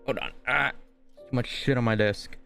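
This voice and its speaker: A man's voice: mid-range voice, steady tone, normal speed, creaky, a bit bright. A man giving casual gameplay commentary in a rambling, offhand way. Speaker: game streamer. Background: game music.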